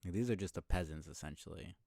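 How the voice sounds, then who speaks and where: A man's voice: low voice, fast, gravelly, warm tone, no room sound, studio quality. A man doing casual live commentary as he plays a video game, musing and rambling as he thinks aloud. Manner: musing, rambling, mild swearing.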